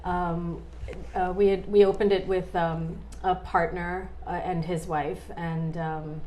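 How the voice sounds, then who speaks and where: A woman in her forties, a guest in a casual interview, talking in a halting way with many fillers and restarts. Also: on the low side, flat delivery, warm tone, highs cut off, a little hiss or hum. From born in Australia, raised in India and Canada.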